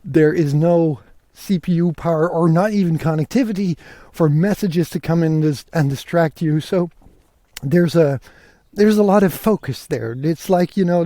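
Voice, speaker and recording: Bright-voiced man podcasting, relaxed and dry. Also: fairly high pitch, no echo. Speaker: podcast host. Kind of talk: casual solo podcast chat. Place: outdoors, walking through countryside fields. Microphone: portable podcasting rig.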